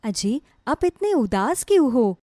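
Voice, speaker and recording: A woman's voice: high voice, big pitch swings, measured pace, mellow, dry recording, studio quality. A woman voicing a worried, devoted village wife and mother in a cartoon story, with a pleading, concerned manner.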